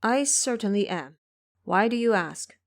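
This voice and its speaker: A woman voicing a mild, cautious male Buddhist monk who speaks in a gently questioning way in an audio drama. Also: mid-range voice, expressive, unhurried. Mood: curious.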